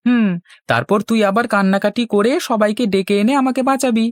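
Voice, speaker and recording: Woman doing a cheeky cartoon boy, high voice, boastful, always talking back. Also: brisk, dry recording, studio quality, natural voice. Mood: cocky, teasing. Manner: cocky, bantering back-talk. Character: cheeky, stubborn, boastful young village boy. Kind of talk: children's cartoon dialogue.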